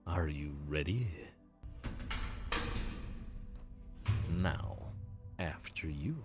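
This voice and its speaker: A man playing a chatty low-voiced grim reaper. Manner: chatty, bantering and a bit awkward. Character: friendly, flustered male grim reaper supervisor. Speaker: voice actor. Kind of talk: audio roleplay acting.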